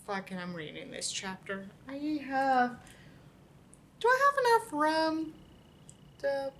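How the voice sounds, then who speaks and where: A woman's voice: sits high, big pitch swings, slow, a bit bright, slight background noise. A woman reading a book aloud as an online creator, with an irreverent, exasperated manner and casual, sweary asides.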